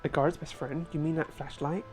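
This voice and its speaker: Woman playing an earnest young male lawyer, probing questions, low voice. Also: steady tone, fairly quick, darkish tone, soft background music, close to an everyday voice.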